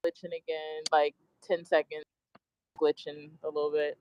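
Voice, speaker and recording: Male actor, fairly high pitch, informal conversational delivery, dull dry remote-call recording. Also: monotone, normal speed, a little mellow, smooth, no room sound. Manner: informal, frequent "like" and "you know". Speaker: actor in rehearsal. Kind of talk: casual chat about a role.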